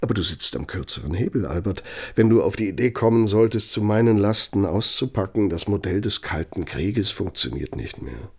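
An actor in a radio drama playing an aging, bitter Nazi architect, speaking in a reproachful, deliberate, rhetorical manner. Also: male voice, on the low side, expressive, darkish tone, husky. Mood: accusing, threatening. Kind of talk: German radio drama monologue.

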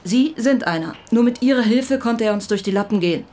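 An actress playing a tough, threatening chief inspector, blunt and commanding, in a radio drama. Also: female voice, fairly high pitch, a little fast, warm tone, a little hiss or hum, lightly acted. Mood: confrontational.

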